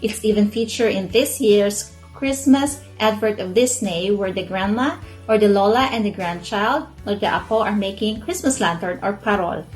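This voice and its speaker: A woman from the Philippines speaking brisk and upbeat to camera. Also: medium pitch, soft background music, rough recording. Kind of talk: casual self-introduction to camera.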